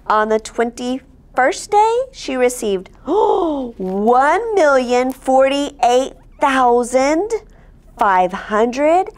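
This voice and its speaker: A middle-aged woman reading a picture book aloud to children, expressive, with explaining asides and check-in questions. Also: high-pitched, drawn-out words. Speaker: story time host reading aloud. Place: indoor studio set, seated at a table. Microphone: lapel.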